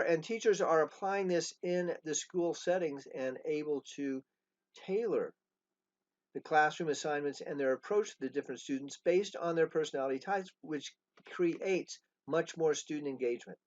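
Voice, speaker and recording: Older male trainer, slightly high voice, halting delivery, muffled lo-fi recording. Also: a little mellow. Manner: halting, with many restarts and pauses. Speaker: education trainer and coach. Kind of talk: unscripted pitch to school staff. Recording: dull lo-fi recording.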